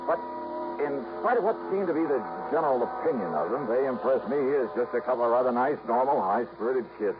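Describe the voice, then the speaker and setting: An American actor playing a brisk, wry, persistent insurance investigator in 1950s radio detective drama style. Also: male voice, medium pitch, animated, over music, lightly acted. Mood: wry narrating. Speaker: lead actor. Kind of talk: 1950s radio detective drama acting, first-person narration. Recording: muffled old radio recording, clear background noise.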